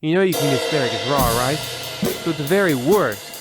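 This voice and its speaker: A man's voice: on the high side, lively intonation, fairly quick, over loud music, close to an everyday voice. A man playing a pompous, portentous narrator host of a parody radio mystery anthology. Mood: indignant rant.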